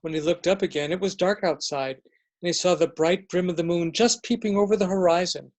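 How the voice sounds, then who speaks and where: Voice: medium pitch, a little fast, a little mellow. A narrator reading scripted story narration in a readers theater.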